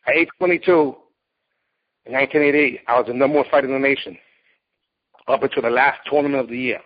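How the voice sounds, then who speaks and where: A man's voice: medium pitch, steady tone, quick pace. A man in his late forties, a martial arts competitor and teacher, speaking bluntly and emphatically in an interview as he tells his story. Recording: dull lo-fi recording.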